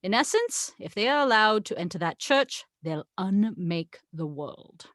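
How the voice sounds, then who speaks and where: A woman's voice: mid-range voice, very animated, a little slow, a bit bright, no echo, studio quality. Woman as a haughty, sarcastic, swooping-voiced angel. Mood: grave, insistent. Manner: exasperated, condescending, wry storytelling. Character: haughty, sarcastic tequila-loving angel, the voice of God. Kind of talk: table read of a film script.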